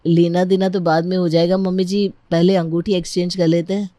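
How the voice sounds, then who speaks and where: A woman's voice: fairly low pitch, level tone, fairly quick, mellow, dry recording, close to an everyday voice. A woman voicing an eager, impatient young bridegroom in a family story, coaxing and insistent.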